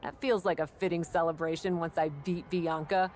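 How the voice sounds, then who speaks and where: A woman's voice: low voice, fairly even intonation, normal speed, a little mellow, a little hiss or hum, natural voice. A woman playing a confident, determined indie pro wrestler with a wry, self-assured manner in video game cutscene dialogue.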